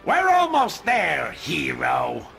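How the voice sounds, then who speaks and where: Gravelly, cackling goblin supervillain. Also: male voice, a little high, huge pitch swings, music in the background, heavily acted. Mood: taunting challenge. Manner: mocking, theatrical, drawn-out menacing delivery. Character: gleefully sadistic cackling male supervillain goblin, taunting and theatrical. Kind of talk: video game villain acting.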